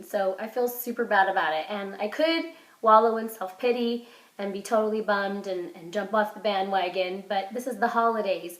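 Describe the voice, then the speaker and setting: A woman vlogging casually while sick with a cold, her voice hoarse and congested. She rambles with lots of fillers and restarts, keeping an upbeat, self-encouraging tone. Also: mid-range voice, fairly bright tone. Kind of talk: casual vlog chat. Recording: roomy echo.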